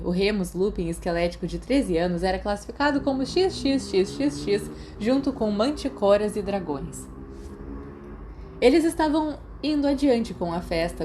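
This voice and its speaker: A woman's voice: medium pitch, warm tone. A woman with a Brazilian accent reading scripted story narration as an audiobook narrator.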